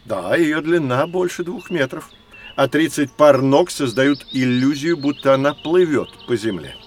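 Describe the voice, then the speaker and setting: A man acts as a kindly male professor with a mid-pitched voice, explaining with measured, gently playful wonder. It is an educational children's audio drama with faint bird sounds behind him. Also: lively intonation, a little hiss or hum, natural voice. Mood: explanatory. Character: kindly, learned male professor guiding children through prehistory.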